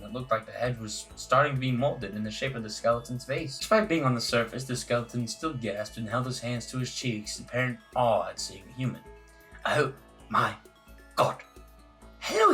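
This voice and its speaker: A man reads game text aloud as a casual let's play host, at a medium pitch. He sometimes trips over words and restarts, with faint music underneath. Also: a bit bright. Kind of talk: casual narration reading a visual novel aloud.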